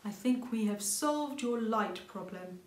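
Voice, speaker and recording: A woman voicing a kindly, calm, helpful old grandfather rabbit in a storybook reading. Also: a little high, unhurried, a little hiss or hum. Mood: encouraging. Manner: calm, encouraging. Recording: roomy home recording.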